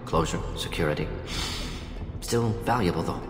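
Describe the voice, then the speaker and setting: A man plays an aging alien professor from a sci-fi game, low in pitch and terse, firing off fragments as if dictating notes. He sounds brisk and burdened, in a reverberant hall with music underneath. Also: lightly acted. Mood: pensive, clipped. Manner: clipped telegraphic phrases, dropping small words. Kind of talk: video game cutscene acting.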